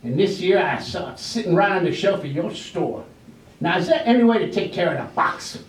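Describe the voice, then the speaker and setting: Heard from off in a roomy space, a man acts a crotchety old villager in a stage play. His pitch runs high and swings widely as he stammers and scolds in a folksy, repetitive way, clinging to old customs. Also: sing-song, a little fast. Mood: scornful. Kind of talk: amateur readers' theater stage acting. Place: library room during a staged reading. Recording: roomy echo, distant microphone.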